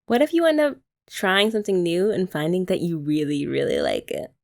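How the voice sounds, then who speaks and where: A young woman in an ASMR girlfriend roleplay, talking softly and teasingly to the listener. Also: fairly low pitch, sing-song, a little fast, vocal fry. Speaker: ASMR creator.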